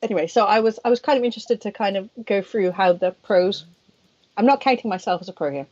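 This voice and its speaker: A woman co-hosting a casual online stream, talking in a chatty, self-deprecating way. Her voice has a middling pitch for a woman. The audio sounds slightly dull and lo-fi. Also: fast talker, darkish tone. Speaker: professional mahjong player and co-host.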